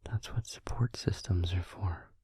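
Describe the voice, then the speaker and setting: Guy doing a soft whispery vampire boyfriend, comforting asmr roleplay, really slow and low. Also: no echo, exaggerated voice. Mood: tender, reassuring. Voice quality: breathy, husky whisper. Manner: soft, soothing, hesitant pauses. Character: gentle, supportive vampire boyfriend, comforting after a nightmare. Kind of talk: intimate ASMR roleplay audio.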